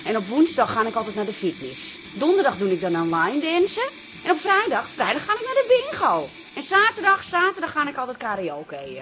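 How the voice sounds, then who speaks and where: An actress playing a chatty, flirtatious and brassy single woman in a radio comedy-drama, quick to take offence. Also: high voice, huge pitch swings. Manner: gushing, giggly, brassy. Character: chatty, flirtatious single woman who turns indignant when snubbed.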